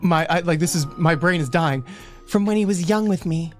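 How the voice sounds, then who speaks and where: A woman's voice: lowish voice, moderate pace. Female stream co-host, comic read-aloud with casual asides, stumbles on words, music underneath. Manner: stumbles over misspelt words, breaks into asides. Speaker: livestream co-host reading fan fiction aloud. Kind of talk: comic dramatic reading mixed with casual chat. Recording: music behind the voice.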